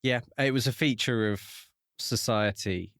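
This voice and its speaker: A man co-hosting a podcast, talking through a book in a measured, thoughtful, conversational way. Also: medium pitch, fairly bright tone, no echo, studio quality. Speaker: podcast co-host. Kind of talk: casual book discussion.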